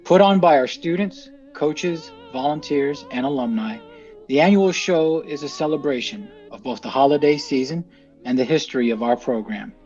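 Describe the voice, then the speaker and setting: Measured male presenter over music, slightly dull. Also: fairly high pitch, a little mellow. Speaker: team representative introducing the show. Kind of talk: scripted introduction.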